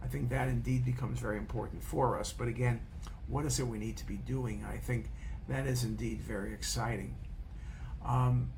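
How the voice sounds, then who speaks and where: A man's voice: mid-range voice, vocal fry, background noise. A man speaking off the cuff as a radiologist and educator, in a rambling informal lecture style that repeats phrases for emphasis.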